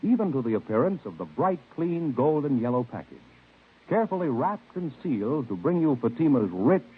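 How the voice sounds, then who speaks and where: A narrow, muffled vintage radio recording of an American man pitching as an announcer. His husky voice swings expressively, with emphatic 1950s salesman phrasing. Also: big pitch swings, a little fast. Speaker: commercial announcer.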